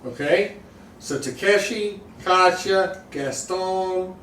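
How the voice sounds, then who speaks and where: A man's voice: sits high, slow pace, a bit bright. A man running a tabletop game as game master, joking and sarcastic with his players. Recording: echoey room, far from mic, faint noise.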